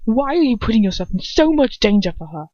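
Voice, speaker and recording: A woman's voice: on the high side, big pitch swings, fast, mellow, dry recording, lo-fi sound, pitched above the performer's own voice. A woman voicing a defiant, sneering young wizard son who mocks his father in an audiobook-style story reading, with emphatic, incredulous delivery.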